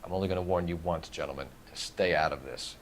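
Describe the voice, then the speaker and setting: An actor playing a stern, by-the-book young police detective in a video game, speaking in a brisk, formal way. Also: male voice, deep voice, slight background noise, natural voice. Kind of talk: dramatic video game acting.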